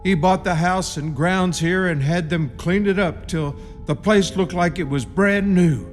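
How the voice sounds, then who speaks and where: A man's voice: high-pitched, measured pace. A man playing an ominous rural caretaker in a radio horror play, giving grim, cryptic warnings in folksy country grammar. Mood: cryptic, grave. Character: ominous rustic caretaker, grimly warning intruders. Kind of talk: radio horror drama acting. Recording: long reverb with music behind.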